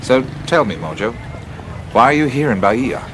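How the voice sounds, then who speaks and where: An actor playing a wry, bantering American adventurer in a naturalistic radio drama, musing and wisecracking. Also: male voice, medium pitch, sing-song, moderate pace, music in the background, close to an everyday voice, low-quality recording. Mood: curious, hesitant. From United States. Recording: radio play with background ambience.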